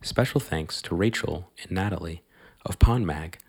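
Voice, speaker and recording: Male announcer, deep voice, scripted intro read, even list-like pacing. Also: moderate pace, breathy edge.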